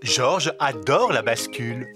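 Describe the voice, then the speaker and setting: A man narrating a children's cartoon in a calm, plain storytelling way. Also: medium pitch, slow pace. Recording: cartoon dub with loud music.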